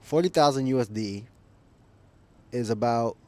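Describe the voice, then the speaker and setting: A man's voice: medium pitch, a little slow, warm tone. A man making a casual opinion vlog as a YouTuber, rambling, thinking aloud and correcting himself, with frequent chuckles. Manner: chuckles often while talking.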